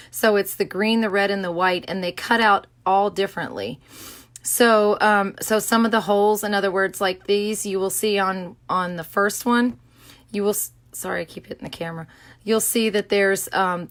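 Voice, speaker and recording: A middle-aged woman, hoarse from a sore throat, talks through a casual craft demo in her home studio. She greets viewers by name and rambles with plenty of ums. Her voice comes from a camera mic at her desk. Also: mid-range voice, fairly bright tone. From Florida. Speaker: craft livestream host.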